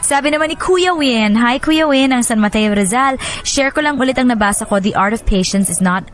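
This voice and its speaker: A woman radio host reading listener stories and messages in an expressive, sentimental way. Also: fairly high pitch, moderate pace. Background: music bed.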